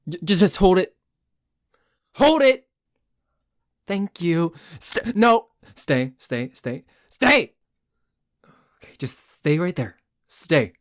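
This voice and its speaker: A man's voice: sits high, big pitch swings, takes it slowly, long pauses, no room sound, heavily acted. Man acting a theatrical possessive yandere boyfriend, close dry sound. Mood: flustered, urgent. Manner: theatrical, swinging between gushing and soft menace. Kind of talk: intimate roleplay ASMR monologue.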